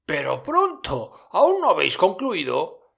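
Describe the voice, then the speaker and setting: A man voicing a jovial old merchant in a storybook reading, calling out loudly and merrily. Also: high-pitched, huge pitch swings, takes it slowly, gravelly, slightly rough, voice pushed up, exaggerated voice. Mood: jolly, booming. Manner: loud, jolly calling out.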